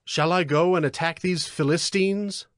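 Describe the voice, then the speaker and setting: Casting: devout young leader of warriors, earnest and solemn, high voice, Bible audio drama. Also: male voice, steady tone, measured pace, a bit bright, dry recording, clean studio sound. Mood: pleading, devout.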